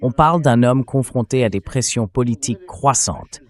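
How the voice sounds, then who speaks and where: A synthetic male voice delivering translated interview commentary in a measured, explanatory way, as a political commentator. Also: medium pitch. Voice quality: synthetic text-to-speech voice.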